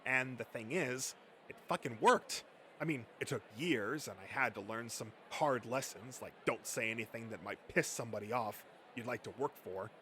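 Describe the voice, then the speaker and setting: A man's voice: medium pitch, moderate pace, sharp tone, slight background noise, close to an everyday voice. A man voicing a boastful, thin-skinned male comic-book nerd who rants and swears self-importantly in an amateur comic dub. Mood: smug.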